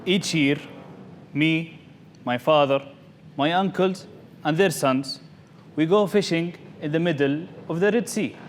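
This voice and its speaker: A man with a slightly high voice delivers a theatrical story as a contest speaker. He talks in short, deliberate phrases with dramatic pauses. The live event recording has some background noise. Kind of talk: theatrical storytelling speech to an audience.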